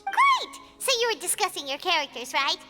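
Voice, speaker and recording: A woman's voice: huge pitch swings, fairly quick, exaggerated voice. A woman voicing a tiny, chirpy, childlike fairy companion in an anime game. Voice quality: squeaky, childlike. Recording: music behind the voice. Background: game music.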